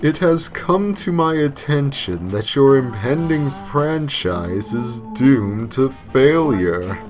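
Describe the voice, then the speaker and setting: A pompous, sadistic devil for a creepypasta tale. He proclaims in a slow, theatrical drawl, raised loud and forceful, with music playing behind him. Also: mid-range voice, lively intonation, voice pushed up. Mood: formal, ominous. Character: the devil, booming pompous sadistic male demon villain. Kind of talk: creepypasta villain dialogue.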